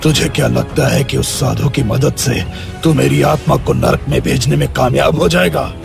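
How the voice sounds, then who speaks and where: A man voicing a cursed, wrathful undead king, gravelly and taunting, in an animated story. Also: medium pitch, normal speed, music in the background. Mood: wrathful menace. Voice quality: gravelly, menacing. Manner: angry, taunting. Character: cursed, wrathful half-golden undead king, devil worshipper. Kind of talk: animated story villain acting.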